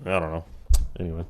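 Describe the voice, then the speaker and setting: A man in his twenties chatting casually with his live-stream chat, laughing and swearing in quick asides. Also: fairly high pitch, a bit bright. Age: 20s.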